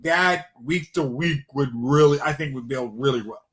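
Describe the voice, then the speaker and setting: Rambling man with a slightly high voice. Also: normal speed, fairly bright tone. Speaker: podcast panelist. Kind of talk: casual chat.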